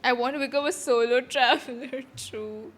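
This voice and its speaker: A woman's voice: high-pitched, moderate pace, a bit bright. A woman streamer giving casual, wry reaction commentary while playing a game. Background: game music.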